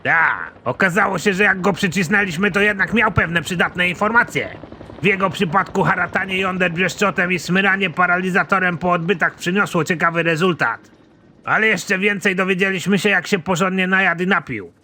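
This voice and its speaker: Brusque, bright-voiced cartoon general played by a man. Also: high-pitched, moderate pace, a little hiss or hum. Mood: exasperated, insistent. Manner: blunt, sardonic, swearing. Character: foul-mouthed, exasperated army general, middle-aged man, absurdly pompous. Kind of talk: animated comedy series dialogue.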